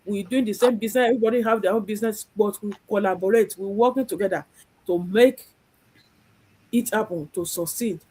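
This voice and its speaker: A husky woman from Nigeria hosts a live stream. She speaks earnestly and circles back over her points. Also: mid-range voice, brisk, darkish tone, low-quality recording. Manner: earnest, repeats herself. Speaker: host.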